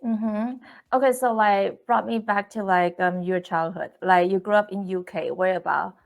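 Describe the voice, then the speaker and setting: A woman's voice: medium pitch, steady tone, normal speed, gravelly. A woman from Taiwan, an English speaker, hosting a casual live-stream interview in a chatty, upbeat way full of fillers.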